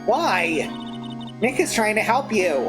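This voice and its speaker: A woman's voice: mid-range voice, big pitch swings, slow, over music, voice pushed up. A woman voicing an eager, curious young woman sidekick in a read-through of a mystery game. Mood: worried.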